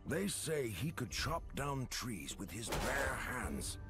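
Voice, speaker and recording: A man playing a solemn village storyteller, narrating a game trailer slowly and reverently. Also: mid-range voice, sing-song, a bit bright, exaggerated voice. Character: solemn male village storyteller praising a folk hero. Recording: game trailer with music underneath. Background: music.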